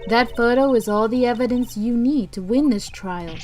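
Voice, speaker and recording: A woman's voice: on the high side, moderate pace, darkish tone, soft background music, close to an everyday voice. A woman playing an insistent, earnest mentor in a courtroom game fan dub, speaking with urgent authority. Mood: urgent, assured. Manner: earnest, commanding. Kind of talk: theatrical game dialogue.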